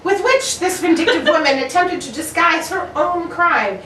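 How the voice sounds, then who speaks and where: A woman's voice: extremely high pitch, animated, moderate pace, a bit bright. A woman playing a brilliant, exacting male detective in an old-time radio drama, with precise, theatrical delivery. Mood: triumphant, explanatory. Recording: echoey room, distant mic, faint noise.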